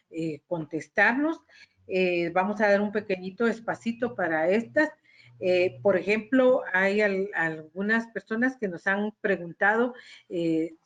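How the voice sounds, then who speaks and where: A woman hosting and moderating questions in a polite, hesitant, unscripted way. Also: mid-range voice. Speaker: host moderating audience questions. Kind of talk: spontaneous event moderation.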